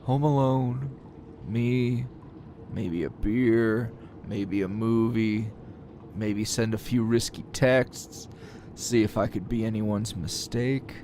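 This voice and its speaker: A man's voice: on the low side, fairly even intonation, slow, mellow, a little hiss or hum. A man playing a defiant, sardonic captured hero and teacher in an ASMR roleplay, taunting his kidnapper in a drawl broken by sighs and chuckles.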